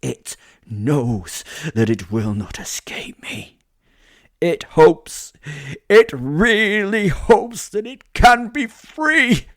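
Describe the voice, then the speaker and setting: A man's voice: high voice, no echo, studio quality, pitched above the performer's own voice, heavily acted. A man voicing a cruel, centuries-old werewolf confessing in a melodramatic monologue for a horror-comedy audio drama. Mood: menacing, exultant. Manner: melodramatic monologue, from weak whispers to loud crescendos.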